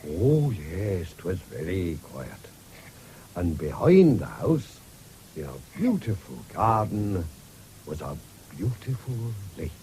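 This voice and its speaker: A man's voice: low voice, sing-song, dark tone, heavily acted. An actor playing a patient old farming grandfather in a radio drama, with a rural English accent and a soothing, coaxing manner. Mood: soothing storytelling. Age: older. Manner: soothing, coaxing, drawn-out delivery. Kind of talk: BBC radio drama acting. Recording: faintly noisy old radio broadcast.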